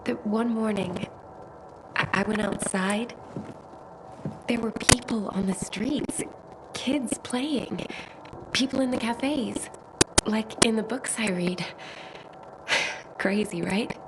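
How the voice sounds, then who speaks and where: An actress playing a shy, dreamy, bookish young woman who speaks softly and wistfully, often half-whispering. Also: mid-range voice, slow pace, long pauses, very noisy, lightly acted.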